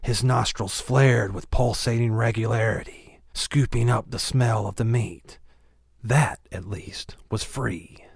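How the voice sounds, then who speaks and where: A man's voice: mid-range voice, slow, creaky, husky, no echo, clean studio sound. A man narrating a novel as a scripted audiobook reading.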